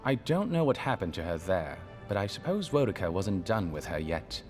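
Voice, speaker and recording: An actor playing a courteous, scholarly aide in a video game, speaking in a formal, precise and deliberate manner. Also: male voice, deep voice, big pitch swings, darkish tone, faint music behind. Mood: composed, grave. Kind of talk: game dialogue, measured exposition.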